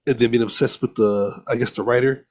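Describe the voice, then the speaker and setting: A man's voice: medium pitch, fairly even intonation, fairly quick, darkish tone. A man co-hosting a podcast, giving his take on films in casual, slangy conversation with friends. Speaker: podcast co-host. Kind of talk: casual film discussion with friends.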